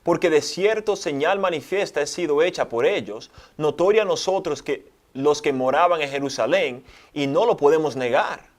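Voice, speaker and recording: A man's voice: fairly high pitch, normal speed, hardly any pauses. A man with a New York accent, a native English speaker, reading Bible verses aloud in Spanish and adding short comments in a study discussion. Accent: American English (New York). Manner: reads scripture aloud, short agreeing replies. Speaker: co-host, scripture reader.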